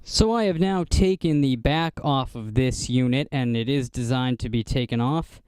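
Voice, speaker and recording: Clear-voiced American man, casual and dry-sounding. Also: medium pitch, normal speed, darkish tone, no room sound. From United States. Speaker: vlogger showing vintage radio gear. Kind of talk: casual unscripted show-and-tell narration.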